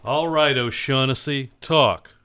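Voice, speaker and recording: A man's voice: mid-range voice, slow, lightly acted. A man playing a cynical, unsentimental private detective in a live old-time radio drama, with terse, blunt, slangy tough-guy delivery. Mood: hard, accusing.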